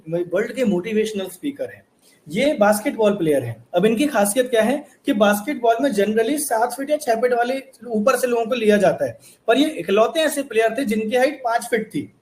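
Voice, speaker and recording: A young man teaching a motivational course lesson, earnest and repetitive, asking his viewers rhetorical questions. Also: high-pitched. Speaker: online course instructor.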